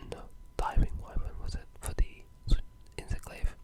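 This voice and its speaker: Hushed ASMR whisperer talking games. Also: normal speed. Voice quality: breathy, unvoiced whisper. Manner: casual, rambling, enthusiastic gamer talk. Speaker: video game ASMR content creator. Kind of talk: whispered ASMR game discussion.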